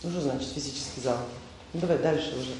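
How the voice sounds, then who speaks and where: A man's voice: mid-range voice, quick pace. A man leading a spiritual seminar, lecturing informally with fillers and rhetorical questions put to the audience. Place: large indoor hall. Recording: echoey hall, voice from far off, faint noise.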